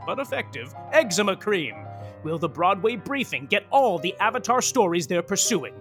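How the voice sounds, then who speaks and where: An actor playing a gossipy, melodramatic soap opera narrator, mock-grand and arch in comic scripted narration. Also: male voice, sits high, a bit bright.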